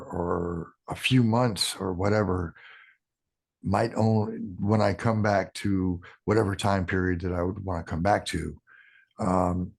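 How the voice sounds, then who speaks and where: A man in his sixties, a podcast guest talking casually in a halting way, restarting sentences with ums. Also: sits low, creaky, slightly rough. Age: 60s. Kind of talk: casual interview talk.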